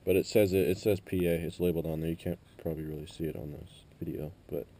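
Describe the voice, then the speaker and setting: A man's voice: sits low, steady tone, fast, creaky, dark tone. A man giving a casual, unscripted hands-on how-to demonstration in a plain-spoken, explanatory way, recorded on an action camera. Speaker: amateur installer giving a car tutorial. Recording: noisy action camera, vehicle ambience.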